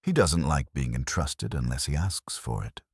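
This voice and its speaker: Very deep male story narrator, dry studio sound. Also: very animated, moderate pace, husky, no room sound. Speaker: audiobook narrator. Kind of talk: scripted first-person detective story narration.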